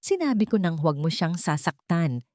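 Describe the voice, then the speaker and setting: A woman's voice: fairly low pitch, very animated, normal speed, dark tone, no room sound. A woman narrator plays a wealthy young man in a romance audio drama. He is cold, controlled and protective, with curt, firm, formal delivery in a dry recording. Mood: stern.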